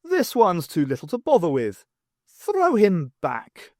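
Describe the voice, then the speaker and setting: A man voicing a quick-witted woman archaeology professor, wry and commanding, in an audiobook. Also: high voice, wildly swooping pitch, a little mellow, no room sound, studio quality, pitched above the performer's own voice, full character voice.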